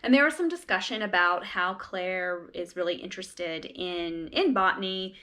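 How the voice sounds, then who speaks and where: A woman's voice: on the low side, moderate pace, bright, forceful tone. A woman casually recapping and reading along with a book, talking in a conversational way with wry asides. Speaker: read-along host.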